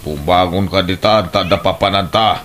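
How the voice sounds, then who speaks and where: A man's voice: low-pitched, moderate pace, a bit bright, slight background noise. A man playing a roguish, pushy uncle who coaxes others into trouble, in an Ilocano-accented radio drama. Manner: cajoling, pushy. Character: roguish, coaxing uncle, a bad influence.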